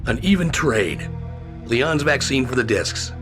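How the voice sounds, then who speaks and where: A tired, angry fugitive agent in a video game cutscene, with a mid-range voice. He talks in short, gruff, defiant lines. A dramatic score plays clearly underneath. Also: medium pitch, sing-song, a little slow, over music.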